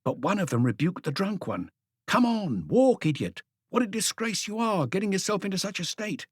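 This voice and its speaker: A man voicing an excitable, eager police inspector who reports deferentially to his boss in an audiobook. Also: sits high, moderate pace, breathy edge, dry recording, clean studio sound, pitched above the performer's own voice, heavily acted. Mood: eager, reporting.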